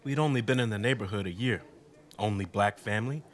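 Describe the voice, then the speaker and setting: A man's voice: lowish voice, a little fast, lightly acted. An actor playing a wry, self-deprecating husband being interviewed in a radio drama, telling his story in a sardonic, conversational way. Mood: dryly understated. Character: wry, indignant husband recounting blackout night, interviewee.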